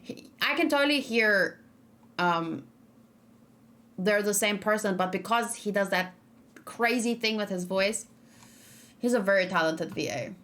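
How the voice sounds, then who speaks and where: A woman's voice: mid-range voice, unhurried, long pauses, a bit bright. A young woman chatting casually on a live stream, thinking aloud and reading out chat messages as she reacts to game trailers.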